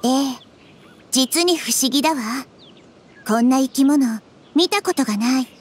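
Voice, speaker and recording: High, gentle, wise little goddess. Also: female voice, a little slow, slight background noise. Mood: thoughtful, intrigued. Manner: calm, thoughtful explaining. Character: gentle, wise child-like nature deity, small girl. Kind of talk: anime game voice acting.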